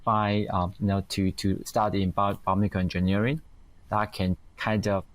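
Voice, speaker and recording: A middle-aged man from Taiwan with a Taiwanese accent, giving halting, reflective interview answers as a guest. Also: on the low side, level tone, no echo. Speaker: guest, company founder and engineer.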